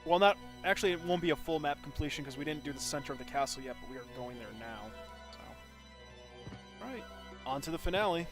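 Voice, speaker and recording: Man streaming over loud game music. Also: on the high side, expressive, fast. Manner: informal, thinking aloud. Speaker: video game live streamer. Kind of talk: casual gameplay commentary, chatting with viewers. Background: video game music.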